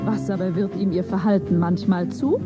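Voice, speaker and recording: A woman's voice: fairly low pitch, steady tone, normal speed, natural voice. A woman playing an insistent interrogator in a stylised radio play, prompting with fill-in-the-blank questions. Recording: dull vintage radio recording. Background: loud music.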